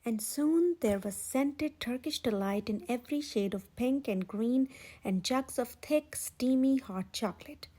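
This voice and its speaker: Woman, high-pitched, breathy, children's read-aloud, storytime host, dramatic emphasis. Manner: expressive, dramatic emphasis with drawn-out words.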